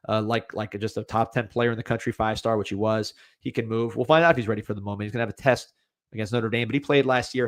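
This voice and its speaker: A man's voice: on the low side, no room sound. A young adult man with a light Cuban-American accent hosting a casual livestreamed sports podcast, rambling with restarts and a lot of "man.". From United States. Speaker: podcast host.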